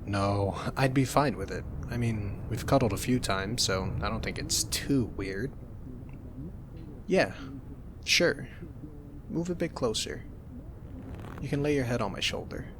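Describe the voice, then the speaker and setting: Young guy doing soft teasing asmr roommate talk, mid voice, noisy rumbly background. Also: lively intonation, unhurried, pauses often. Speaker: ASMR roleplay narrator. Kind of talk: ASMR boyfriend roleplay.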